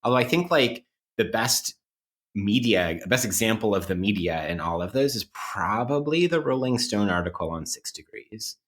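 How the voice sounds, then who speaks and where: A man with a very low voice co-hosts a casual academic podcast. He mixes scholarly vocabulary with offhand, wry asides. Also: animated, normal speed, a bit bright. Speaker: podcast co-host.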